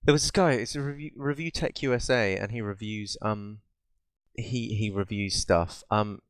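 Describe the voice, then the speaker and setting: A British man with a fairly low, clean voice, recorded close and dry. He talks as a podcast co-host in loose, rambling banter. His delivery is sardonic and peppered with swearing. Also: normal speed, clear, resonant tone, dry recording. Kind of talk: casual bantering chat about video games.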